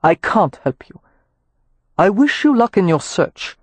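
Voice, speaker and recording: A woman voicing a curt, aloof young man who manages a gentlemen's club and speaks in short, dismissive replies. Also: mid-range voice, sing-song, a little slow, slightly rough, no room sound, voice pushed up. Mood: curt, cool.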